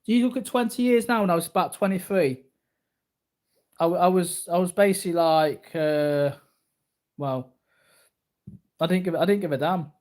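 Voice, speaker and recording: A man in his early forties with a slightly high voice speaks off the cuff to his viewers. He rambles in a casual, boastful way, circling back and repeating his phrases. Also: moderate pace, pauses often, darkish tone. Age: early 40s. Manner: repetitive, boastful. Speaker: martial arts vlogger.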